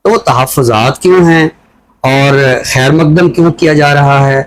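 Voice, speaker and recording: A man with a Pakistani accent giving reflective talk show commentary in a deliberate, explanatory way, often posing rhetorical questions. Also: a little high.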